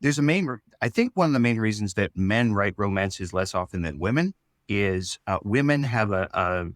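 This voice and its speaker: A man speaking as a writer in a casual interview, explaining his ideas in a thoughtful, halting way. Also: lowish voice, animated, no room sound, studio quality. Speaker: writer and storyteller.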